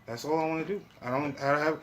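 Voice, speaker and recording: Casual man chatting over clear background noise. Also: fairly high pitch, steady tone, fast talker. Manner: profane, slangy, informal talk. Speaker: podcast host. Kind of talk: casual podcast chat.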